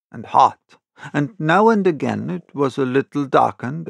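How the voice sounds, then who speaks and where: A man narrates a classic audiobook at a slow, deliberate pace. His mid-pitched voice has some creak in it, and he tells the story in a measured, dramatic way. The sound is close and dry. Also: no room sound. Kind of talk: scripted literary narration in the first person.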